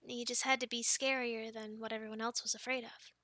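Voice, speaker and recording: A woman's voice: a little high, little pitch movement, a little fast, sharp tone, dry recording. A young woman performing an ASMR roleplay in a playful, girlish manner, close to the microphone. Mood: playful chat. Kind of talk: ASMR roleplay, whispered storytelling and casual chat.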